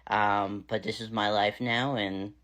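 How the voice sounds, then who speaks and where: Frank, slightly raspy man talking to camera. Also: on the low side, steady tone, measured pace, a bit bright. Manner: candid, frank, swears casually, many fillers. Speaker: vlogger. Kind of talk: casual personal Q&A vlog.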